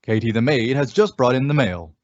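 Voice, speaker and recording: A man's voice: medium pitch, lively intonation, brisk, a little mellow, no room sound. A man announcing an old-time radio show with scripted, emphatic, showy presentation. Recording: dull, dry lo-fi radio recording.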